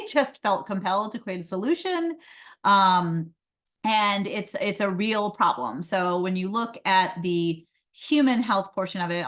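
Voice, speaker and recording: A woman's voice: mid-range voice, a bit bright. A woman who grew up in India, a pediatrician and company founder, giving a conversational webinar talk with frequent fillers over a video call. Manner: conversational, many um fillers, light laughs. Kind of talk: webinar presentation.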